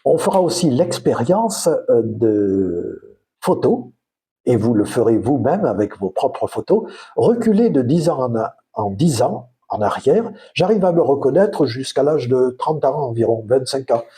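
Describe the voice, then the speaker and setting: A man's voice: medium pitch, big pitch swings, a little slow, dark tone. A man in his fifties with a Provençal accent, hosting his own vlog in a reflective, rambling way with frequent hesitations. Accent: Provençal French. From southern France. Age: 50s. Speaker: channel host. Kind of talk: casual vlog monologue.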